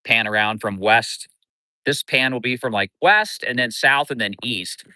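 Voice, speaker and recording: Middle-aged Midwestern man, livestream host, bright, dry close sound, easygoing rambling chat. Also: medium pitch, measured pace, no echo. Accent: Midwestern American. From Kansas City. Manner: relaxed, rambling, greets viewers by name. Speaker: livestream host and fishing coach. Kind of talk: casual livestream chat with viewers. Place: outdoors by a river in town.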